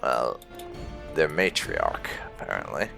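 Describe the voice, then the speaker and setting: Male gameplay commentator, slow, gravelly, wry, casual, highly varied pitch. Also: big pitch swings. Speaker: gaming channel host. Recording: game music loud behind voice. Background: game music.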